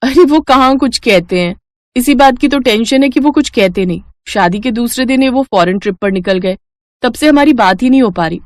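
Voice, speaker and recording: A woman's voice: on the high side, animated, a little fast, no room sound, studio quality, lightly acted. A woman voicing a flustered young bride. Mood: exasperated. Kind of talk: cartoon dubbing.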